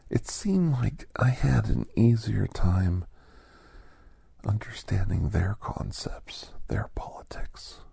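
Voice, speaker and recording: A man playing a genial older doctor with a secret past, telling folksy ASMR stories in an unhurried, breathy, gravelly half-whisper. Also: on the low side, warm tone, dry recording, full character voice. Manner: unhurried, folksy, halting, conversational. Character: genial old doctor, a retired secret government scientist, reminiscing. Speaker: storytelling podcast host in character. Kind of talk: ASMR storytelling, soft-spoken roleplay.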